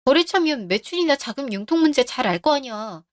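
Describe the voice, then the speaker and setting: A woman's voice: high-pitched, animated, fairly quick, no echo, studio quality. Anxious, nagging wife, voiced by a woman. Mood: worried. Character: worried, cautious wife. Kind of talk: Korean radio drama.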